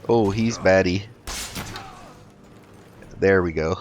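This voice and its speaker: A man's voice: sits low, slow, darkish tone, lo-fi sound, slight background noise. A man hosting a casual let's play, thinking aloud hesitantly as he goes. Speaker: gaming video host.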